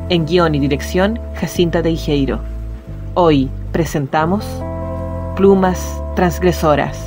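A woman reading scripted credits as a radio theatre announcer. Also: on the low side. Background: music.

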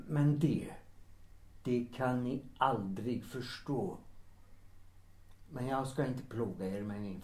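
An actor playing a resigned, deferential servant with quiet bitterness, formal and guarded, in a period audio drama. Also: male voice, mid-range voice, lightly acted. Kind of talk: period audio drama acting, 1930s setting. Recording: roomy, echoing sound.

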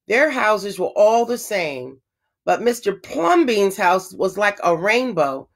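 A woman teacher reading a children's storybook aloud, with expressive phrasing and emphasized words. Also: medium pitch, takes it slowly.

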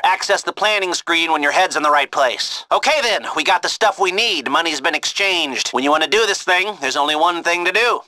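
A twitchy sarcastic male heist planner from a video game, sharp voice, super dry sound, rambles nervously. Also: a little high, dry recording, poor audio. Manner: nervous, hesitant, rambling, wry asides. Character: jittery, sardonic criminal heist planner, chatty and exasperated. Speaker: heist coordinator giving mission briefings. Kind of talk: casual, conversational video game dialogue.

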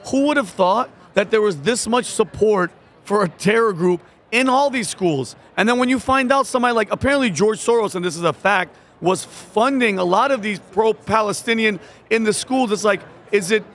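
A man in his forties with a very high voice co-hosts a casual chat, working his way through questions with halting restarts. He speaks into a desk podcast mic at a booth in a large convention hall. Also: lively intonation, a bit bright, a little hiss or hum. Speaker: podcast co-host.

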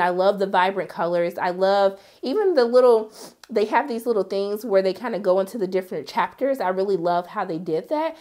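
A young woman reviewing a film in a sassy, animated way, her voice strained from being sick. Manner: sassy, animated, jumps high for quoted asides. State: sick, voice partly lost. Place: indoor studio, graphic backdrop.

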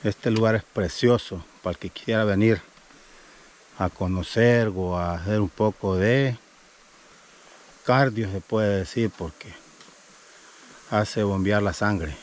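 A man's voice: fairly low pitch, slow pace, pauses often, slight background noise. A man in his thirties filming a family hiking vlog, chatting to viewers as he walks and climbs a wooded trail, a little out of breath. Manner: chatty, addresses viewers as "mi gente". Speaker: family vlogger. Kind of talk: casual hiking vlog narration. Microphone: action camera held at arm's length.

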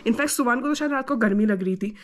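Woman vlogging, chatty, mixes hindi and english, bit high voice, phone held out. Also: fast. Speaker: travel vlogger. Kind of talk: casual travel vlog chat. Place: hotel doorway and car. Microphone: phone at arm's length.

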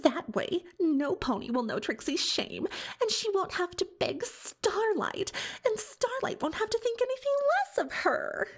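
A woman's voice: very high voice, big pitch swings, measured pace, full character voice. A woman playing a pompous, defensive unicorn pony magician turned librarian in an ASMR roleplay, hesitant when cornered. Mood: scheming, haughty.

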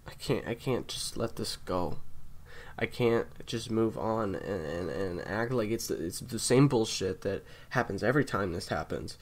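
Assassin for audio roleplay male actor: low register, guilt-ridden, protective, halting, whisper-prone. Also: animated. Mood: guilty, grieving. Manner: halting, repeating himself, often dropping to urgent whispers. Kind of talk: audio roleplay drama addressed to the listener.

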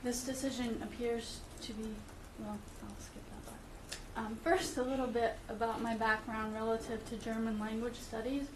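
A middle-aged woman reading a letter aloud at a school meeting, steady and careful, with occasional fillers. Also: on the high side, moderate pace, hardly any pauses. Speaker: parent speaking at public comment. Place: meeting room with audience. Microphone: far room camera. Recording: echoey room, distant camera, background noise.

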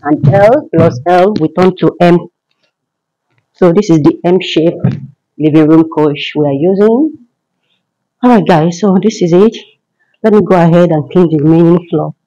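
A woman's voice: fairly low pitch, unhurried, pauses often, dark tone, dull audio. A woman working as a housemaid, chatting casually to viewers and explaining each task as she cleans tiled rooms in a large home. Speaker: housemaid filming her cleaning routine. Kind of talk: casual vlog narration to viewers.

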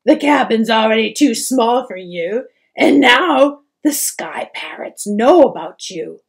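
A woman's voice: fairly high pitch, expressive, slow, creaky, full character voice. A woman voicing a tender mother wood troll who is weeping and speaks in a faltering, pleading way in a storybook reading. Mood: tearful. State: tearful.

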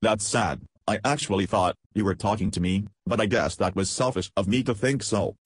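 Evasive interview chatbot, played by a man: lowish male voice, childlike bluntness, dry close sound. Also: normal speed, breathy edge, no room sound. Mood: blunt. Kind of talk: reenacted text-chat interview.

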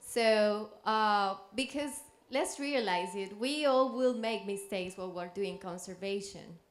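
A woman's voice: medium pitch, measured pace. A young woman from Colombia, speaking earnestly with fillers on a conference panel into a handheld mic. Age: young adult. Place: conference room with audience.

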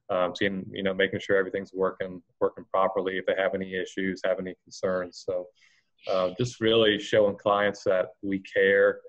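An American man speaks casually as a guest on a panel. His voice is deep and stays quite flat in melody. The video-call sound is slightly dull. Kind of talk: casual explanatory talk.

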